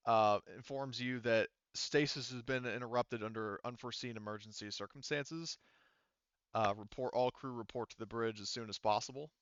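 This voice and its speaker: Halting man running a tabletop game, clear voice. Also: medium pitch, steady tone, fairly bright tone, dry recording, lo-fi sound. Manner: halting, explanatory. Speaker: game master. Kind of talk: casual narrating of a tabletop roleplaying game.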